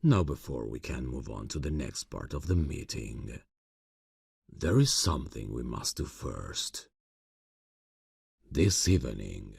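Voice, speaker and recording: A man voicing a suave, sinister vampire boss in an ASMR fantasy roleplay, speaking slowly and formally in a gravelly, husky voice with silky, courteous menace. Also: a bass voice, mellow, dry recording, clean studio sound, heavily acted. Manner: slow, silky, formal, condescending endearments.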